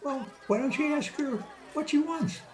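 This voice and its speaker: A woman's voice: sits high, normal speed, close to an everyday voice. A woman playing a friendly, inquisitive young lady in an old-time radio drama. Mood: friendly, curious. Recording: home video-call audio, music behind.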